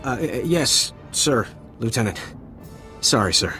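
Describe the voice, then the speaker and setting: A cocky young ensign w/ a smart mouth, faint music behind. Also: male voice, medium pitch, expressive, unhurried, sharp tone. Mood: flustered. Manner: sarcastic, jokey, stammers when scolded. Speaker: rescued junior officer.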